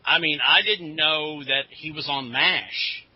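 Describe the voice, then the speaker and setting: A man's voice: a little high, slow pace, husky, dry recording. A man co-hosting a podcast, chatting casually and informally like an enthusiastic fan. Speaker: podcast co-host. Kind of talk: casual chat about comics. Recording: dull, lo-fi podcast audio.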